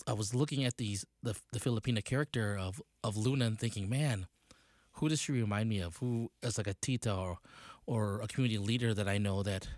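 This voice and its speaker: A man in his late forties, a community news reporter, reviewing a play in an unhurried, halting way with frequent fillers. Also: lowish voice, a little mellow, no echo, clean studio sound. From United States.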